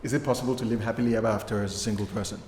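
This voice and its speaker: A man's voice: fairly low pitch, steady tone, rapid-fire, a little mellow. A man asking interview questions in a light, prompting way. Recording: roomy reverb, faint hiss.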